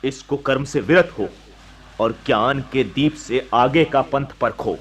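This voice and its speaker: A man's voice: mid-range voice, measured pace, background noise, close to an everyday voice. A man playing a righteous monastic teacher, solemn and preaching in a radio drama. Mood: stern, admonishing. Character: righteous male monastic teacher, stern and moralising. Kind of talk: Hindi radio drama acting.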